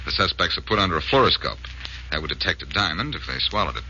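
An actor playing a confident, wisecracking amateur detective with a brisk, sardonic manner in 1940s radio drama style. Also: male voice, deep voice, animated, bright, forceful tone, full character voice. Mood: wry, brisk. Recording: muffled, hissy old-time radio broadcast.

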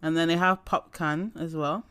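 Young man, podcast co-host, fairly high voice, dry close sound, eager casual chatter. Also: fairly even intonation, moderate pace, sharp tone, clear, resonant tone, no echo. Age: young adult. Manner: chatty and enthusiastic, lots of "like" fillers. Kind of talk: casual chat. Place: at home.

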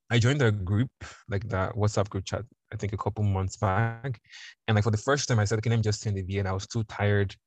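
Lively young Nigerian man, deep dry voice. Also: no echo. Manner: animated, acts out voices in quotes. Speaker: podcast guest. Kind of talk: casual storytelling chat.

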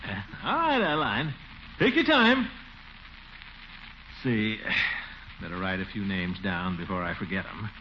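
A man's voice: fairly low pitch, big pitch swings, unhurried, pauses often. A noisy, muffled vintage radio recording carries a pompous middle-aged American bachelor. His voice swoops extravagantly up and down as he blusters and chuckles. He is a self-important, easily embarrassed figure from 1940s radio comedy. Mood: bashful, flustered.

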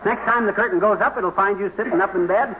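An actor playing a bumbling, boastful husband in old radio comedy style, with folksy, slangy, wheedling talk. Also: male voice, high voice, fast talker, natural voice. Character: bumbling, boastful, cheerful husband cooking in the kitchen. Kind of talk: 1940s radio comedy acting. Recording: noisy muffled old radio recording.

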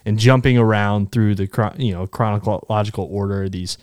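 Low laid-back guy on a book podcast, lots of "you know", dry mic. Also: steady tone, moderate pace, darkish tone, no room sound. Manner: easygoing, trails off with "you know" and "right?". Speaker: podcast co-host. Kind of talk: casual book discussion.

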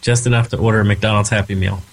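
A man's voice: lowish voice, steady tone, fast talker, rough recording. Rambling casual male show host. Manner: rambling, friendly, with restarts. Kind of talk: casual interview hosting.

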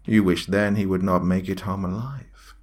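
A man voicing an evil alien demon queen in a horror story, with a raspy, slithering, mock-tender and menacing delivery. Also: low-pitched, steady tone, moderate pace, voice pushed up. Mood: taunting.

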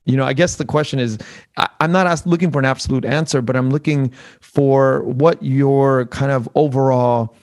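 A man's voice: medium pitch, fairly even intonation, normal speed. A man hosting a casual interview, feeling his way through questions with searching, tentative phrasing. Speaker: interviewer, podcast host.

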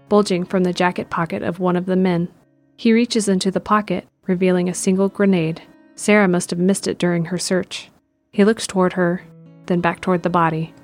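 A woman with a slightly low voice narrates a scripted drama. Her delivery is measured and descriptive, with faint music playing beneath.

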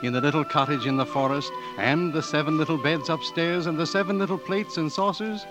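Casting: man to play 1940s radio fairy-tale storyteller, lightly high pitch, measured delivery over music. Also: fairly even intonation, natural voice. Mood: gentle storytelling. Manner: measured, repetitive storybook phrasing. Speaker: narrator.

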